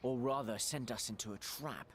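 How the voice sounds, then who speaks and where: A man's voice: mid-range voice, normal speed, close to an everyday voice. A man playing an inquisitive, skeptical adventurer in a fantasy game, pointed and dryly witty. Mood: questioning, pointed. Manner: questioning, dry, pointed. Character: skeptical, inquisitive male adventurer with dry wit.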